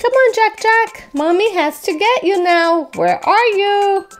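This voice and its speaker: A woman's voice: very high-pitched, big pitch swings, unhurried, soft background music, exaggerated voice. A woman playing a playful cartoon mommy coaxing her toddler in singsong baby talk for children's toy role-play.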